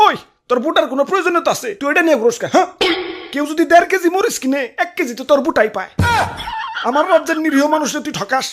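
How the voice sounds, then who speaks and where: A woman's voice: sits high, very fast, raised voice, faint music behind, natural voice. A woman playing a boastful, touchy potato king in a cartoon, scolding pompously with a Bangladeshi accent. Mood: indignant. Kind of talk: comic cartoon acting.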